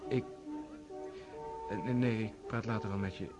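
A man's voice: fairly low pitch, fairly even intonation, normal speed, loud music behind the voice, natural voice. A man playing reserved, hesitant Prince Andrei. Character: Prince Andrei, serious reserved young nobleman.

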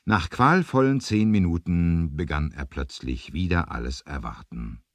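Very deep, animated male storyteller. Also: unhurried, dry recording. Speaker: narrator. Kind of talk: dramatic scripted storytelling for a 1970s adventure radio play.

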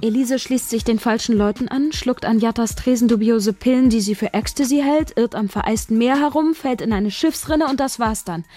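A woman's voice: fairly high pitch, normal speed, few pauses, dark tone, soft background music, close to an everyday voice. An actress playing a shy young woman scriptwriter who narrates her own story in a radio drama. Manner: inner-monologue narration, urgent in scenes. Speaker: narrator and protagonist.

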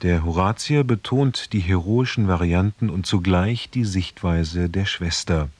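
A man introducing a radio play as announcer, reading scripted narration in a measured, formal manner. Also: sits low, a little mellow, breathy edge, dry recording.

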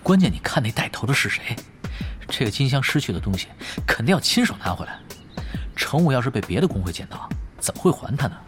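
A confident, tongue-in-cheek male gaming ace in an audio drama. His mid-pitched voice is very animated and unhurried, over clear background music. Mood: wry, calm. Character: laid-back, teasing veteran male gaming ace. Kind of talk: Chinese audio drama acting.

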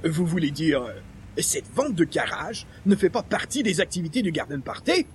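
An actor playing a pompous, easily indignant columnist with formal, theatrical speech in an old-time style radio comedy. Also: male voice, a little high, sing-song, normal speed, slight background noise. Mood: exasperated.

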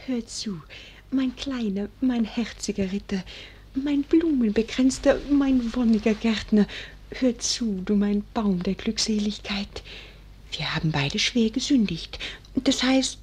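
An actress playing a coquettish, tender young countess in a 1940s German radio play, cooing and often whispering. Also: female voice, medium pitch, expressive, a little slow, darkish tone, slight background noise, close to an everyday voice. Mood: cooing, hushed. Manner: breathy, whispering, cooing endearments.

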